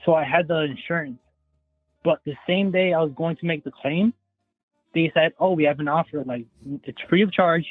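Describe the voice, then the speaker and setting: Caller, young man in his twenties, higher-pitched, chatty and rambling, heard through a thin phone line. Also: moderate pace, soft background music. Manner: rambling, hedging with "like". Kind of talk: casual phone call.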